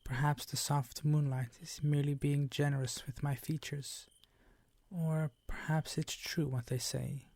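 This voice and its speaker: A man voicing a suave, predatory vampire count in an intimate ASMR roleplay, speaking formally with drawn-out, condescending, teasing courtesy. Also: fairly high pitch, mellow, dry recording.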